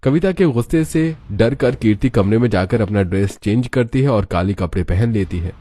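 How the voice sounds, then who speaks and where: A man's voice: fairly low pitch, animated, moderate pace, warm tone. A man narrating a scripted cartoon story. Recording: music behind the voice. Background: background music.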